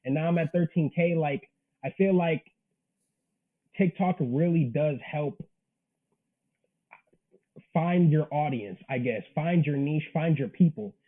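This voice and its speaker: A man in his twenties with a slightly high voice, heard through narrow, phone-like audio. He speaks casually and earnestly as an interview guest, using everyday slang. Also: slow, pauses often. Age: 20s. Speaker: interview guest, manga creator.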